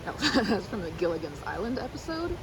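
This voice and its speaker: A woman in her thirties with an American accent, rambling in a casual, animated and playfully theatrical way as a chatty best friend in an ASMR roleplay. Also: mid-range voice, a little fast, noisy background. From United States. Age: 30s. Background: river park ambience with water and vehicle sounds.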